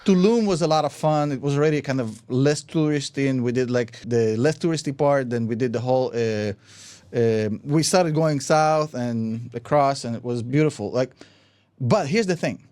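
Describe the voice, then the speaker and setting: A man's voice: medium pitch, moderate pace, dry recording. A man who is not a native English speaker, with a noticeable accent, hosting a casual podcast chat and telling stories with a laugh. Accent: foreign accent in English. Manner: laughing, animated storyteller, says "bro" a lot. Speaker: podcast host.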